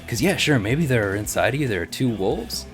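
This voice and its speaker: A man's voice: mid-range voice, lively intonation, brisk, natural voice. A man playing an earnest, swaggering dude hero who makes slangy, rambling bro speeches. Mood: earnest speechifying. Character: earnest, swaggering dude hero pitching wolf-pack solidarity. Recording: music behind.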